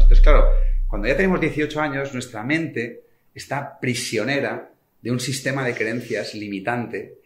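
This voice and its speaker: A man from Spain, speaking passionately and colloquially as a guest author in a podcast conversation, with sudden swings in loudness. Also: mid-range voice. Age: 40s. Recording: echoey room.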